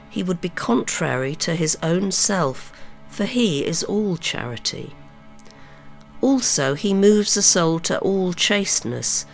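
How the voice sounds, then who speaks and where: Female reader for solemn devotional text, lowish creaky voice, formal and measured. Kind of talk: solemn scripted reading of an old religious text.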